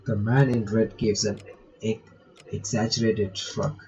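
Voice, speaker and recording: A man's voice: on the low side, flat delivery, measured pace, darkish tone, lo-fi sound, a little hiss or hum. A man reading visual novel text aloud with casual commentary, breaking off to remark and reread lines. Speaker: gaming reaction video maker.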